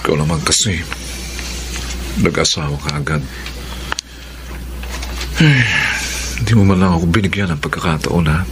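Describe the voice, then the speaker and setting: A man acts out a persistent Filipino suitor. His deep voice is earnest and seductive in a radio drama style, over clearly audible noise. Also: normal speed, long pauses, lightly acted. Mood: seductive, pleading.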